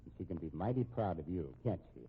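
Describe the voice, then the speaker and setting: An actor playing an anxious, respectable American husband in a 1950s radio drama, speaking in a measured, pointed way. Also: male voice, low voice, animated, slightly rough, full character voice. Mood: bitter, pointed. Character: respectable, anxious, shrewd husband held hostage at home. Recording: muffled, tinny old radio recording.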